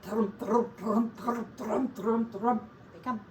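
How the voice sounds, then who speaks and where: Woman, storybook narration, expressive, highish lively voice, dramatic emphasis, faint background noise. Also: takes it slowly. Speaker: teacher reading a storybook aloud. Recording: distant mic with faint room noise.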